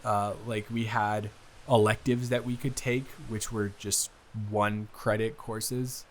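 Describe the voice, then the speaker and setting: A man's voice: lowish voice, flat delivery, slow pace, a little hiss or hum. Man playing an awkward, stammering, smitten young guy. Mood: awkward, chatty. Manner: hesitant, stammering, rambling, apologetic. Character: awkward, earnest, smitten young man, best friend's brother. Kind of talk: improvised intimate roleplay audio.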